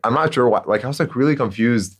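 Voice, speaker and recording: A young man hosting a casual podcast, telling a story in an animated way and laughing often. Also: mid-range voice, very fast. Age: young adult. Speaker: podcast host. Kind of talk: casual chat.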